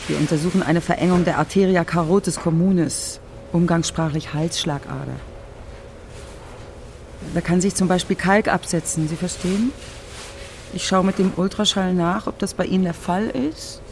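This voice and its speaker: An actress playing a brisk, clinical examining doctor in a naturalistic radio drama. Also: female voice, lowish voice, a little hiss or hum, natural voice.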